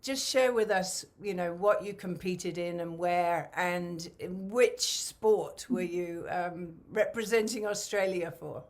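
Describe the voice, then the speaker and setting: A woman hosting a podcast interview, talking in a rambling, excitable way full of fillers. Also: fairly low pitch, takes it slowly, few pauses. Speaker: podcast host.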